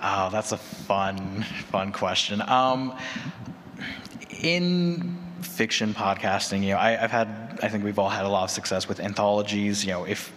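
A man's voice: lowish voice, a little slow, fairly bright tone. A man speaking conversationally as a podcaster on a panel. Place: large convention room with audience. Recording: echoey hall PA, noisy room.

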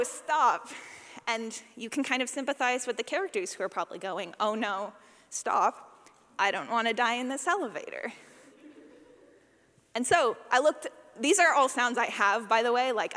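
A woman's voice: fairly high pitch, fairly bright tone. A woman giving a casual, conversational workshop talk as a sound designer, with a wry, playful, self-deprecating manner. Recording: long hall reverb.

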